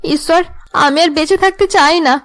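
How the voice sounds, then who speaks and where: A woman plays a long-suffering young mother in a cartoon family drama. Her high voice is sorrowful and pleading, aggrieved at how she is treated. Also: normal speed, a bit bright. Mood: despairing. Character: put-upon, sorrowful young wife and mother.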